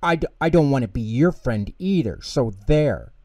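A man voicing a small, excitable male mouse in a children's storybook, eager and affectionate, repeating himself and sputtering. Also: medium pitch, normal speed, no room sound, studio quality, pitched above the performer's own voice. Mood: flustered, indignant. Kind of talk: children's storybook character reading.

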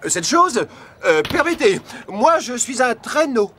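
Reluctant, grumbling magic sleigh. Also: male voice, very high voice, big pitch swings, a little slow, bright, forceful tone, slight background noise, full character voice. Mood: indignant. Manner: hesitant, grudging. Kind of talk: animated cartoon dub acting.

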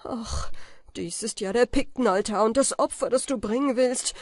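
A woman voicing a desperate young Scottish peasant man who pleads in anguish, slow and drawn-out, for a storybook reading. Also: very high voice, no echo, studio quality, voice pushed up.

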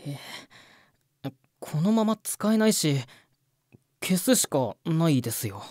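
A man's voice: a little high, very animated, slow pace, a little mellow, no room sound, clean studio sound. A man acting as a jittery young junior sound mixer in a Japanese voice drama. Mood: nervous.

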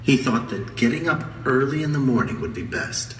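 A man reading a children's picture book aloud in a gentle storybook manner. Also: medium pitch, expressive, moderate pace, distant mic, a little hiss or hum. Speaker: read-aloud narrator. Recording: recording played in an echoey gym, heard from afar.

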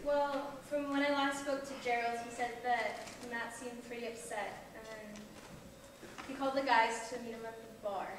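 A teenage girl playing a blunt young friend who gives advice, in a high school stage play. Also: steady tone, normal speed, lightly acted. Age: teens. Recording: echoey auditorium stage from far off, noisy.

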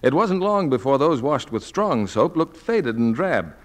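Lively 1940s radio announcer man. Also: mid-range voice, unhurried. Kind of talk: polished 1940s radio announcing and sponsor pitch.

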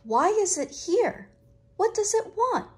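A woman reading a picture book aloud to children, with expressive, deliberate, dramatic phrasing. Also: extremely high pitch.

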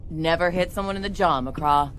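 An actress playing a hard-bitten, sardonic military sergeant in a motion comic, coarse and dry, barking orders. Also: female voice, fairly low pitch, moderate pace, a little hiss or hum, lightly acted. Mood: sardonic anger. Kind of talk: dramatic motion comic voice acting. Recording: voice over loud dramatic music.

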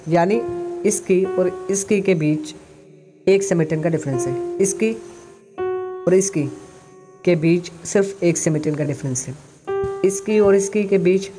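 A man with an Indian accent explaining software as a tutorial host, mixing in English phrases. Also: sits high.

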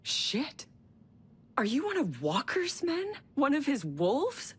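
A woman's voice: sits high, wildly swooping pitch, takes it slowly, exaggerated voice. A woman playing a skeptical, principled engineer in a video game, speaking in pointed challenges. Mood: alarmed. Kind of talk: video game cutscene acting.

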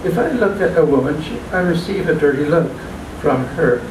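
An older man reading his own poems at an open mic in a small restaurant, halting and plain, stumbling and restarting now and then. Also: fairly high pitch. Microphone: stand mic, filmed from a far camera. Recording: echoey room, distant mic, background hum.

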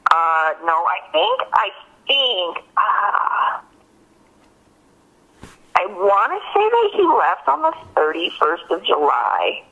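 A woman's voice: medium pitch, wildly swooping pitch, slow pace, creaky, bright, forceful tone, slightly rough. A woman being interviewed over the phone, recounting casually and hesitantly with lots of filler words. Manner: hesitant, filler-heavy, frequent use of "like". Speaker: witness interviewed by an investigator. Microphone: telephone. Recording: dull, lo-fi phone call recording.

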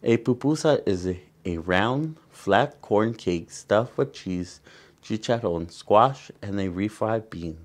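Male storytime teacher, Salvadoran accent, low-pitched and unhurried, careful halting read of a picture book with friendly-aimed asides for kids. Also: expressive. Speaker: children's storytime teacher and host. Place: indoor room.

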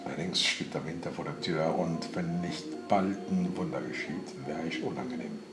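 A man's voice: extremely low pitch, moderate pace, echoey room, recorded from a distance, over music, voice pushed down. A man voicing a coquettish, impetuous and dreamy waif girl with a wheedling, theatrical manner in a literary reading. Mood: coy, dramatic.

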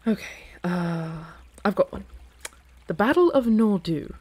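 A woman playing an earnest, playful dragon in human form in a fantasy ASMR roleplay, with a casual, teasing, halting delivery. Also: medium pitch, lively intonation, a little mellow, slightly rough, no room sound. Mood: amused, casual.

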